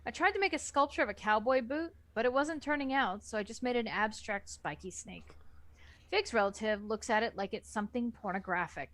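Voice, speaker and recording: A woman's voice: fairly high pitch, brisk, fairly bright tone. A woman reading scripted first-person story narration as the narrator of an amateur book reading.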